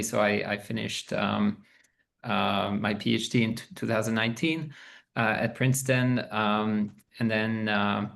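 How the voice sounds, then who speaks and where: A man in his thirties, from Canada, speaking as a professor on an online alumni panel, halting and laughing between thoughts. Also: on the low side, vocal fry, fairly bright tone. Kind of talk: casual panel talk. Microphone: video call.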